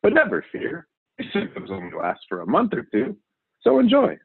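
A man's voice: high voice, normal speed, creaky, breathy edge, no room sound, voice pushed up, full character voice. Man acting a boastful, swooping TV survival host. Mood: cheerfully reckless. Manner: excitable, showy, cheerfully overconfident. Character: wild, boastful male TV survival show host. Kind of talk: children's storybook character reading. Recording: narrow, phone-like audio.

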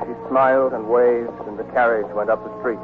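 Actor as wistful soldier, tinny old radio. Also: male voice, medium pitch, flat delivery, a little fast, close to an everyday voice. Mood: wistful narration. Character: soldier in love, tender and wistful narrator. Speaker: actor and narrator in a radio drama. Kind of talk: 1940s radio acting. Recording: tinny 1940s radio broadcast with music.

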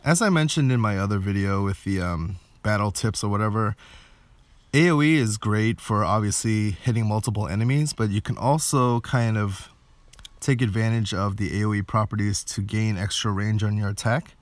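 A man with a fairly low voice talks through gameplay in a casual way. He thinks aloud with some hesitation, recorded close and dry with little room sound. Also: moderate pace, no room sound. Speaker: game video creator.

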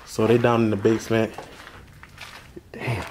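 A man's voice: lowish voice, level tone, measured pace, darkish tone. A man vlogging while exploring an abandoned old factory at night, talking casually in slang, swearing and easily spooked. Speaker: vlogger exploring a haunted building. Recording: noisy handheld camera audio.

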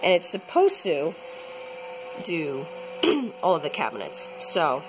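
A woman's voice: sits low, big pitch swings, slow pace, noisy background. A young woman casually explaining a home painting project while filming, chatty with many ums. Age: young adult. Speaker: vlogger renovating her home. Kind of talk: casual how-to narration. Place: house, indoors. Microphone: handheld camera.

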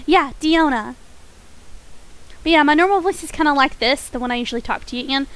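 A woman streaming a game, chatting casually and softly, a little nasal, her voice pitched up, with small laughs between remarks. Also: moderate pace. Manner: soft-spoken, chatty, frequent small laughs; voice pitched up from her natural register.

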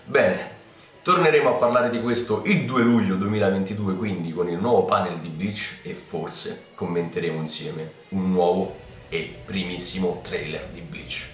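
A young man from Italy presenting anime news to his viewers in a chatty, excitable way, recorded at home on a camera mic. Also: deep voice, moderate pace. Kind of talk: casual news vlog. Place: home room with keyboard and lamp. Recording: roomy, slightly distant mic with faint music.

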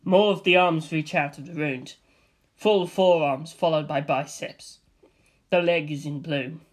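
A man's voice: fairly high pitch, fairly even intonation, measured pace. A man reading out a comedy screenplay and its stage directions in a dry, matter-of-fact way. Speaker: script reader. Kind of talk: deadpan comedic script reading.

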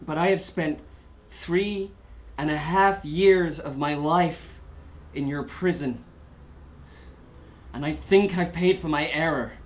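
A man playing a bitter, defiant prisoner who addresses the court in a dramatic film monologue, often repeating his words. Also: fairly high pitch. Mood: controlled, bitter. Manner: halting, repeats words, building from subdued to shouting. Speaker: actor performing a film monologue.

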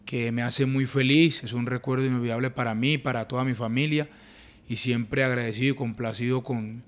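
A young Colombian man giving earnest interview answers on a sofa at home, speaking into a handheld mic, calm and full of small restarts. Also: mid-range voice, a little fast. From Urabá, Colombia. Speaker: interviewed footballer. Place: living room, on a sofa indoors. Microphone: handheld mic held at chest.

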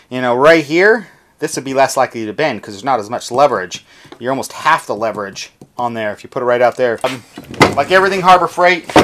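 A man's voice: mid-range voice, fairly bright tone. A man, casually reviewing tools in his garage workshop, talking practically and thinking aloud with fillers. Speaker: garage DIY channel host. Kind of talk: casual hands-on product review. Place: cluttered home garage workshop.

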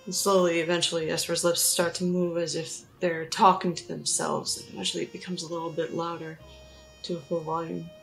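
A woman with a fairly low voice and noticeable fry takes part in a tabletop game. She narrates her character's movements with soft music behind her. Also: little pitch movement, fairly quick. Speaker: player in a tabletop role-play podcast.

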